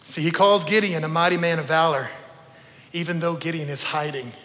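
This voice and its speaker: Bright-voiced male preacher in a reverberant hall. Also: a little high, fairly even intonation, a little fast. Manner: conversational, emphatic, repeats phrases, checks in with listeners. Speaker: preacher, former youth pastor. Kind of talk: informal storytelling sermon to a congregation. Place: church service in a large room.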